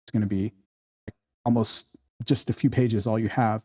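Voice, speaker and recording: A man giving casual spoken feedback in a script workshop, halting and informal as he thinks aloud. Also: fairly low pitch, steady tone, warm tone.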